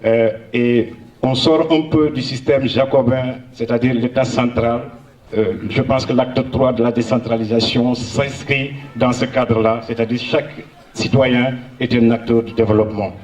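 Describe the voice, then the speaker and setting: A man, a Soninke speaker, addresses a gathering in slow, earnest phrases broken by hesitant fillers. His voice sits at an ordinary pitch and carries a roomy echo, picked up from some distance from the mic. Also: steady tone, a little mellow. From Senegal. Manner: earnest, measured oratory with frequent hesitations. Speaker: honoree giving a public speech. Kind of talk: public speech at a local ceremony.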